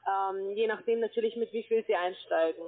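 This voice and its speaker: A woman giving a telephone sales pitch as a call center agent, explaining in a halting, repetitive way. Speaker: call center account manager, telesales agent. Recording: tinny, band-limited phone line.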